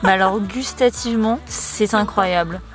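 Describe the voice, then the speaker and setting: A woman's voice: medium pitch, normal speed, over music, low-quality recording. A young woman chatting casually on camera while hiking outdoors in the mountains, bubbly and enthusiastic. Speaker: vlogger on a hiking trip.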